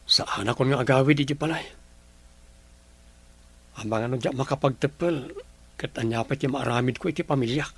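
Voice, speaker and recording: A troubled young man in an Ilocano Filipino radio drama, played by a male actor. His mid-range voice is husky, and he speaks earnestly, like someone burdened by relationship woes. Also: medium pitch, moderate pace, long pauses. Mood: earnest, subdued.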